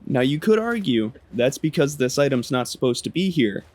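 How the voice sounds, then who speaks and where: A man with a mid-pitched voice narrates gameplay. He keeps it casual and slangy, with a wry turn to his storytelling. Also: sing-song, normal speed, darkish tone. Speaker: gaming video narrator.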